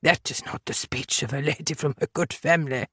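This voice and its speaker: A woman voicing a wealthy, condescending English gentleman in an audiobook, with formal and measured phrasing. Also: sits low, husky, no echo, studio quality, voice pushed down. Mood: disdainful.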